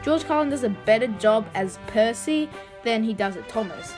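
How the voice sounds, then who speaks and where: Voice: mid-range voice, lively intonation, moderate pace, over music. A video host giving informal, opinionated commentary in a casual style.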